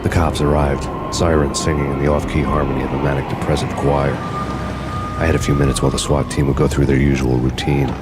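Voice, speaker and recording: An American actor playing a world-weary wanted cop, narrating in a brooding, deadpan hardboiled noir style. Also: male voice, rumbling low, fairly quick, very noisy. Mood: grim, brooding. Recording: music behind the voice.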